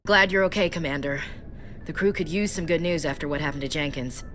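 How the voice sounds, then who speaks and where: An actress playing an earnest young marine in a video game, sincere and plain-spoken. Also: female voice, low voice, brisk, fairly bright tone, faint music behind. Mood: grateful. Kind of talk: dramatic video game dialogue.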